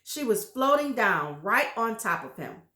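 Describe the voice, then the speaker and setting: A woman's voice: a little high, sing-song, unhurried, pushed and bright, roomy sound. A woman reading a children's book aloud expressively, pointing out pictures to her listeners. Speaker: storybook reader.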